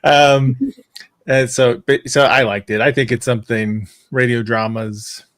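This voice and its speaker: A man's voice: medium pitch, steady tone, unhurried, a bit bright, dry recording, clean studio sound. A man sharing his opinions in casual, unscripted conversation as a fan panelist.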